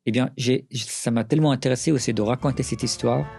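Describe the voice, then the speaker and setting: A middle-aged man from Syria with a Syrian accent, answering interview questions earnestly, often pausing and restarting. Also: mid-range voice, steady tone, creaky, a little mellow, faint music behind. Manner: earnest, with frequent hesitations and restarts. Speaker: writer and journalist, interview guest.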